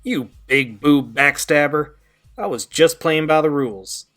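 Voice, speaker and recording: A man's voice: fairly high pitch, a little slow, a bit bright. A man playing an easygoing, wry young guitarist friend, sheepish but good-humoured, in an audio drama. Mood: wry, exasperated. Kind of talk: amateur audio drama acting.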